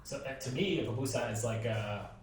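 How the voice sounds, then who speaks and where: Host heard from far off in an echoey room. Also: male voice, medium pitch, a little fast, slight background noise. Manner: curious, chatty. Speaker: interviewer, host. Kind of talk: casual interview.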